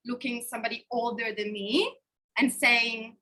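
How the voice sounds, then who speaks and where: A woman's voice: fairly high pitch, normal speed. A woman in her thirties, a life coach, speaking openly and expressively to a live online workshop from a hotel room over a webcam. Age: 30s. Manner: expressive, open and personal.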